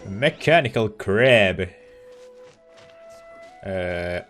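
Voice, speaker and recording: A man's voice: low-pitched, animated, drawn-out words, fairly bright tone, soft background music. A man streaming a game with casual commentary, thinking aloud as he plays. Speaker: let's play streamer.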